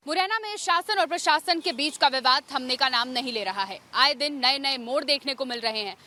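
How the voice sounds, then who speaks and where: A woman's voice: high-pitched, a little fast, pushed and bright. A woman reading a scripted news report in the manner of a reporter. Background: music bed.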